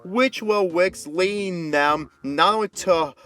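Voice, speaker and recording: A man's voice: sits high, slow, a bit bright, voice pushed up. A man voicing an exasperated, worrying teenage girl who scolds sternly, in an amateur story reading. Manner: stern, anxious, ranting.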